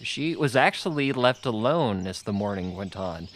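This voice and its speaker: A man's voice: lowish voice, lively intonation, moderate pace, a bit bright, a little hiss or hum. A man reading a children's novel aloud as scripted audiobook narration. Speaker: audiobook reader.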